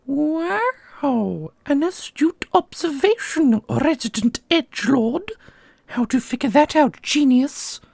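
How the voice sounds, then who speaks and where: A woman playing an ancient custodian and combat mentor in an ASMR roleplay, doting and sharply sarcastic, with a husky, creaky voice. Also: high voice, big pitch swings, takes it slowly, darkish tone, no room sound, full character voice. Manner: theatrical, teasing, instructive. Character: millennia-old custodian mentor, doting on pupil, witheringly sarcastic.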